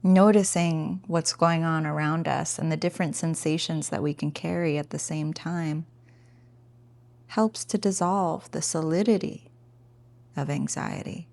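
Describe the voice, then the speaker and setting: A woman leads a guided meditation in a low voice with plenty of gravelly fry. She takes her time between phrases and speaks gently and informally, encouraging the listener. The sound is close and dry. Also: steady tone, measured pace, no room sound. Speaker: meditation teacher.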